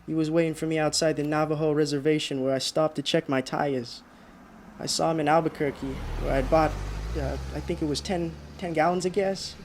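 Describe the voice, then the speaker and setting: An actor playing a frightened, exhausted driver in a radio drama, halting and muttering to himself. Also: male voice, a little high, slight background noise, close to an everyday voice. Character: frightened, exhausted male driver haunted by a phantom. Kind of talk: radio drama monologue and dialogue.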